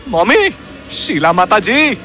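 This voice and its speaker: A man's voice: sits high, very animated, slow pace, sharp tone, faint music behind, heavily acted. A man playing a cowardly, panicking young man in a comic horror audio drama. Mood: terrified.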